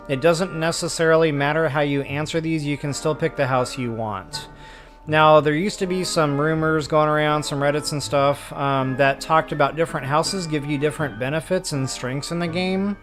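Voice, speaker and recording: Casual male gamer, slightly high voice. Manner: laid-back, conversational, explanatory. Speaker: gaming walkthrough host. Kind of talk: casual game commentary. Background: game music.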